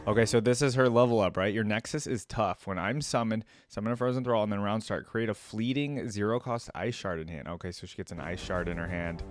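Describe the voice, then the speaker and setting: A man giving casual reaction commentary as a video host, informal and rambling as he thinks out loud. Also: fairly low pitch, brisk, few pauses, faint music behind.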